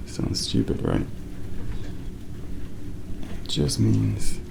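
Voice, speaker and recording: A man speaking soft ASMR in a hushed, reflective and slightly hesitant way. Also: fairly low pitch, sing-song, drawn-out words, gravelly. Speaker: ASMR creator. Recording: noticeable background noise.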